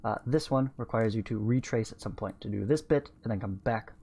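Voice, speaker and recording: A man's voice: lowish voice, a little fast, mellow. A man in his thirties teaching math, reading a textbook aloud and adding informal explanatory asides into a close microphone. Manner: informal, explanatory, often checking with "right?" and "okay". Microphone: close studio microphone on a stand.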